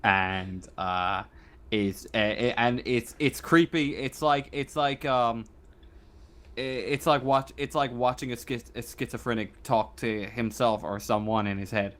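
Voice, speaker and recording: A man acting as game master, narrating a tabletop roleplaying game casually and haltingly. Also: mid-range voice, fairly bright tone. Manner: halting, feeling out descriptions as he goes.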